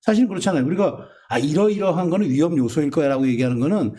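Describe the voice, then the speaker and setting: A man talking as a political commentator on a panel show, animated and quick, often restarting his sentences. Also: a little high, breathy edge. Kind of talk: casual political panel talk.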